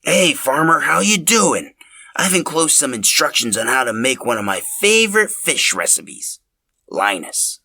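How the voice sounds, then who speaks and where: Man putting on a bright, friendly old fellow. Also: mid-range voice, sing-song, measured pace, voice pushed up, heavily acted. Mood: cheerful.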